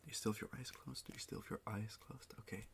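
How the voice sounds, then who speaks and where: A man whispering and speaking softly in a gentle ASMR roleplay as a music teacher, repeating words slowly and reassuring the listener. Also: lowish voice, fairly even intonation, vocal fry.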